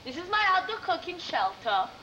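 A woman's voice: very high voice, very animated, normal speed, pushed and bright, dull audio. A young woman with an Israeli accent touched by New York pronunciations, chatting casually to a home video camera. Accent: Israeli with some New York pronunciations. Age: young adult. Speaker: summer camp outdoor cooking counselor. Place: open wooden shelter in woods. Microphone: camcorder mic a few meters away. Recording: noisy outdoor camcorder audio.

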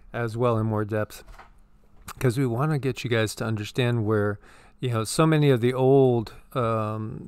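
A man's voice: mid-range voice, darkish tone, clean tone, no room sound. A man co-hosting a casual spiritual commentary show, talking in a rambling, earnest way and often repeating words. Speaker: co-host.

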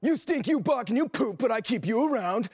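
A man's voice: very high voice, expressive, fairly quick, a little mellow, slightly rough, dry recording, clean studio sound, heavily acted. A man playing a cranky, boastful old husband who grumbles indignantly in broad comic style. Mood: grumbling, mocking.

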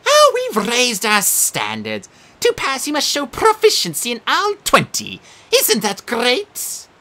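Man doing a lion-bodied sphinx hostess, female, gleeful, very high, slow drawn-out words, swooping pitch, storybook monster. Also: huge pitch swings, sharp tone, heavily acted. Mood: gleeful showy. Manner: showy, drawn-out announcer delivery. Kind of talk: audiobook character voice.

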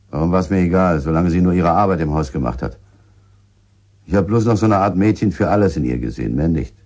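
An actor in a German radio drama playing a bitter, crude village husband under suspicion, husky and defensive in his halting way of talking. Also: sits low, darkish tone. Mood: bitter accusing.

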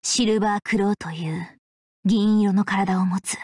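A woman playing a composed, commanding young female leader in an anime visual novel game, with measured, formal, authoritative speech. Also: medium pitch, fairly even intonation, a little mellow, slightly rough, no echo, studio quality, natural voice. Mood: solemn, measured. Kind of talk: Japanese visual novel acting and narration. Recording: background music under the voice.

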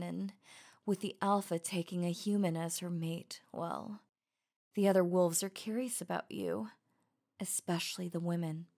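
Slow, sultry werewolf huntress acted by a woman. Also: on the low side, darkish tone. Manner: slow, breathy, intimate, often dropping to whispers. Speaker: audio roleplay voice actor. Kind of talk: ASMR roleplay.

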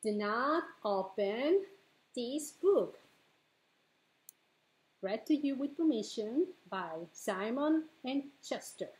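A middle-aged woman hosts a bilingual storytime as a children's librarian. Her voice sits a little high and she goes very slowly and deliberately through the picture book. She reads expressively for preschoolers in a living room at home. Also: rough recording. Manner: expressive, deliberate, switching between English and Spanish.

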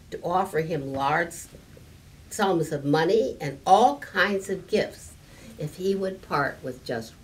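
An elderly woman reads a picture book aloud to children in a deliberate, slightly halting manner, adding small explanations. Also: fairly low pitch. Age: 70s or older. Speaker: story time reader. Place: library room with stone fireplace, indoors. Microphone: camera a few meters away. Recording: distant mic, room noise.

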